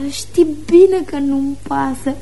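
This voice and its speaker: A woman's voice: sits high, creaky, warm tone, a little hiss or hum. An actress playing a playful, frank, impulsive schoolgirl of almost eighteen, in love, in a girlish, teasing radio theatre style. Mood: tender, frank.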